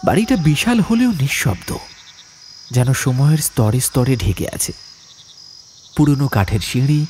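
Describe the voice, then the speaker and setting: Expressive male horror story narrator. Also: medium pitch, measured pace, slight background noise. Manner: suspenseful, expressive. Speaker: audiobook narrator.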